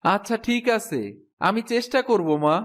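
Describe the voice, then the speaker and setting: Man voicing a high-pitched, plaintive poor village boy. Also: moderate pace, natural voice. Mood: meek pleading. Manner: pleading, plaintive. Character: poor orphaned village boy Sumon, meek and sorrowful. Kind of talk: cartoon fairy-tale voice acting.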